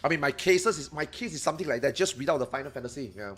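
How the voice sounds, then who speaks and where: Male streamer, excitable, hoarse from talking, soft music in the background. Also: fairly high pitch, very fast. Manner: excitable, swears freely, reacts loudly to chat. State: losing his voice. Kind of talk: casual live-stream chat.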